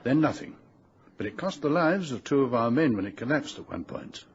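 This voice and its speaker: A man plays a learned old titled gentleman of a radio drama, genteel and genial. His delivery swings widely in pitch as he explains things in formal phrases. The recording is dull, with a faint hiss. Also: very animated, a little fast, close to an everyday voice. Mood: scholarly, expansive. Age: older. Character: genial, learned old titled English explorer, fatherly and dismissive.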